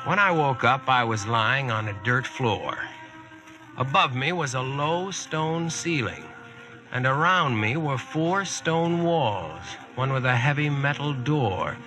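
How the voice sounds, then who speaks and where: A man's voice: mid-range voice, lively intonation, slow, music in the background. An actor playing a plain-talking young American ex-serviceman, colloquial and wry, in 1940s radio style. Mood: storytelling.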